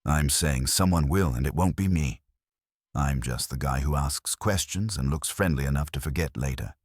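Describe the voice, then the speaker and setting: A man's voice: a bass voice, normal speed, no room sound, clean studio sound. A man voicing a wry, cool private investigator in a detective audiobook, wisecracking as he probes. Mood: cool, pointed. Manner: wisecracking, probing.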